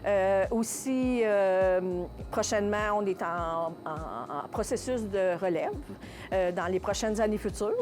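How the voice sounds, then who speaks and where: Woman, Quebec French accent, mid-pitched voice, business owner in an interview, casual, halting, faint music underneath. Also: darkish tone. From Contrecœur, Quebec, Canada. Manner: hesitant, halting, searching for words. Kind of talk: casual, unscripted interview answers.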